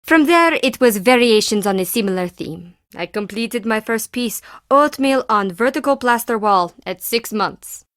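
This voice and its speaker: A woman's voice: on the high side, animated, normal speed, hardly any pauses, fairly bright tone, no room sound, clean studio sound. An actress voicing a self-important woman artist in a video game, with a pompous, theatrical manner. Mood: grandiose. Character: self-important woman artist boasting of her own work. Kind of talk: video game character acting.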